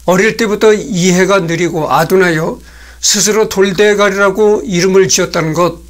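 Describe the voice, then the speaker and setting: A man in his sixties hosting a solo talk from a small room, speaking slowly and haltingly with many fillers while reading notes from a screen. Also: high voice, steady tone, fairly bright tone. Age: 60s. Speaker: host. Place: small indoor room at a desk.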